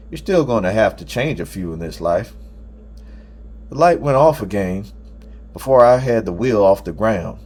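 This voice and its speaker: A man with a fairly low voice narrates a story in the first person. He reads as an audiobook narrator, telling it from a script. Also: a little mellow, husky.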